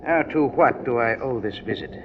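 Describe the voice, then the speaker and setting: A man's voice: mid-range voice, normal speed, lightly acted. An actor playing an evasive, haughty male suspect in a 1950s radio crime drama, speaking in formal, supercilious phrases. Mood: cool, haughty. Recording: dull, noisy 1950s radio recording.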